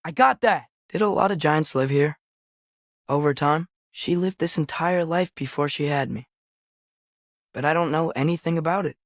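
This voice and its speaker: A man's voice: fairly high pitch, normal speed, no echo, close to an everyday voice. Earnest teenage boy adventurer, acted by a young man, dry studio sound. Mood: gentle, awkward. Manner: hesitant, earnest, boyish. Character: earnest, curious, impulsive teenage boy adventurer in Norse myth. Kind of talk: naturalistic video game voice acting.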